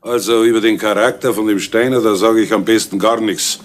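An actor playing a chatty, gossiping police informant, in a 1970s radio crime play. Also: male voice, lowish voice, fairly even intonation, fairly quick, natural voice. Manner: gossipy, confiding.

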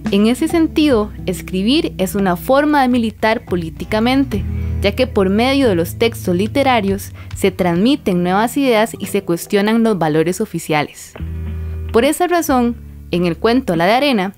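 A woman's voice: medium pitch, expressive, normal speed, a little mellow. A woman giving prepared, formal literary commentary in the didactic manner of a professor. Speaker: literature professor, guest specialist. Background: music.

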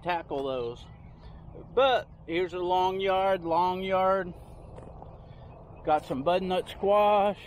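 A man's voice: high voice, a little hiss or hum. A man giving a casual, rambling tour of his backyard garden, recorded outdoors on a handheld phone. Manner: rambling, unhurried, points things out as he walks.

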